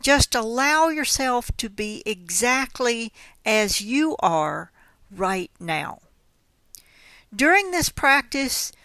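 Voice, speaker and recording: A woman guides a meditation at a very slow, measured pace. Her mid-pitched voice has a distinctly bright edge, while her phrasing stays gentle and instructional. The sound is close and dry. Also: creaky, no echo. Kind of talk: guided mindfulness body scan instruction.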